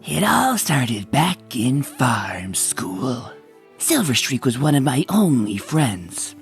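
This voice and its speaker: A woman's voice: rumbling low, very animated, slow, vocal fry, faint music behind, full character voice. A woman voicing a young male dragon who solemnly narrates a friend's story in a comic dub. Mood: solemn, regretful.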